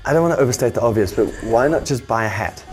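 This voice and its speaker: A man's voice: medium pitch, animated, fast, a little mellow, faint music behind. Joking male cooking-show host. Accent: South African. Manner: joking, playfully naive questions. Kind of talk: comic banter on a cooking show.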